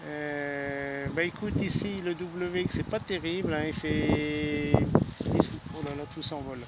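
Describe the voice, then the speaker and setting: A man's voice: fairly high pitch, steady tone, unhurried. A man chatting casually as an amateur radio operator in a friendly way, speaking outdoors in a city. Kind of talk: casual ham radio exchange. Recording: outdoor city ambience and traffic noise.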